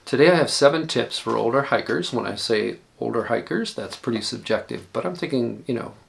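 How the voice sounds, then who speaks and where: A man in his sixties giving casual, rambling hiking advice, often pausing and correcting himself. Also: mid-range voice, vocal fry. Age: 60s. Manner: relaxed, rambling, self-correcting. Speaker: hiking vlogger.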